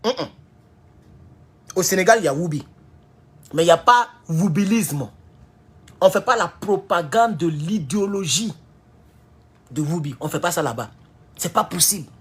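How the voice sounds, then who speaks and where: A man with an Ivorian French accent giving a heated political commentary, jumping from loud high outbursts to low mutters. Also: animated, normal speed, pauses often, slightly rough. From Ivory Coast. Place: at home in California.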